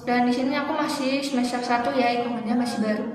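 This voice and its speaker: A young woman from Batam answers casually with little fillers, her voice a touch high. She is recorded in a big echoey hall with a crowd audible around her. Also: steady tone, fast. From Batam, Riau Islands. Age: young adult. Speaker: first-semester student. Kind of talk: testimonial interview.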